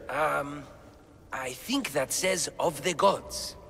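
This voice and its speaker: An actor playing an eager, bookish, excitable scholar in a video game, speaking. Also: male voice, fairly high pitch, takes it slowly, gravelly, exaggerated voice. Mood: excited. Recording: long cavernous reverb, faint ambient noise.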